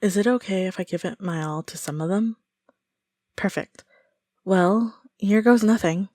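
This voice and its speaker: A woman's voice: medium pitch, a little slow, darkish tone, no echo, natural voice. An eager young-sounding actress, played by a woman, performs an audition in an audio roleplay. She is earnest and nervous, recorded dry and close. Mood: eager, polite.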